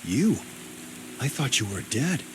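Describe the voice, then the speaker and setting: A man's voice: mid-range voice, sing-song, measured pace. A man playing a somber, subdued grieving brother who is the protagonist of a video game. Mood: somber, wary. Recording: rain and ambient noise.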